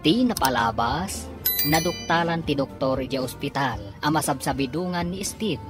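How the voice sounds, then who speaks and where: A woman's voice: low voice, normal speed. A woman with an Ilocano Filipino accent reading scripted, dramatic narration to introduce a radio serial. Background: music.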